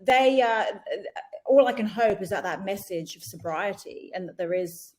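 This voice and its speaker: A woman in her forties from England, a podcast guest speaking frankly and reflectively, pausing on fillers. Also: mid-range voice, lively intonation, a little mellow. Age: 40s. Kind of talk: candid conversational interview.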